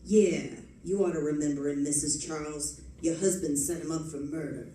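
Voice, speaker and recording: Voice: medium pitch, steady tone, moderate pace, warm tone, natural voice. A performer playing a menacing, slangy gangster's messenger in the style of 1940s radio drama. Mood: sly menace. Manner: slangy, tough-guy delivery. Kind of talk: live recreation of 1940s radio acting. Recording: roomy, distant, faint background noise.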